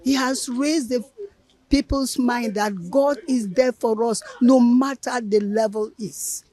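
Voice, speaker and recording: An older Nigerian woman with a Nigerian English accent, speaking in a slow, earnest way. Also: a little high, warm tone. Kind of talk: reflective speech.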